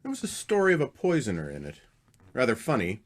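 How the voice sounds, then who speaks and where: A man's voice: medium pitch, wildly swooping pitch, quick pace. A man acting an earnest, uneasy husband in an old-time radio mystery, questioning and hesitant. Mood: probing, uneasy.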